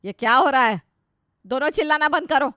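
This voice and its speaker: A woman's voice: high-pitched, fairly quick, sharp tone, dry recording, studio quality, voice pushed up. A woman voicing a caring but firm older mother-in-law in a moral story, motherly and authoritative. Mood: stern. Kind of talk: dramatised Hindi moral story.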